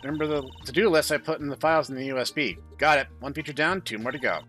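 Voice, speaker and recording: A man hosting a gaming playthrough, reading visual novel dialogue aloud in an expressive way. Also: a little high, fast talker, faint music behind. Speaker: gaming channel host.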